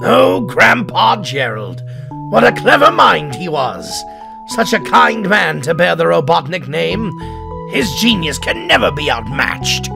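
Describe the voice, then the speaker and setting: A man playing a surly, boastful middle-aged mad doctor in an audio drama, pompous and melodramatic, with a gravelly voice. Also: a little high, very animated, a little slow, full character voice. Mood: nostalgic pride. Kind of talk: theatrical audio drama acting. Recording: music behind the voice.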